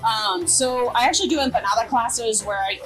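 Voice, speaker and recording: A woman's voice: a little high, lively intonation, a little fast, a bit bright, soft background music, low-quality recording. A young American woman chatting casually through a cooking demonstration as a chef, informal, slangy and enthusiastic. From Greensboro and High Point, North Carolina, United States. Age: young adult. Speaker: food truck chef.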